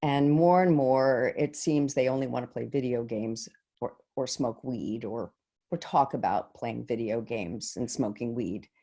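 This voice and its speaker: Low-key, mellow woman acting a devoted teacher. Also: full character voice. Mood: earnest. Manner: reflective, halting, deliberate pauses. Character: shaken, devoted middle school teacher, recounting earnestly. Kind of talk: staged monologue reading. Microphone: video call.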